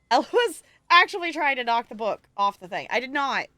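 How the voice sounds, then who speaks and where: A woman's voice: sits high, very animated, normal speed, pushed and bright, no echo. A woman chatting casually as she live streams a game, rambling in a playful, self-deprecating way. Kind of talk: casual gaming stream chat. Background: music.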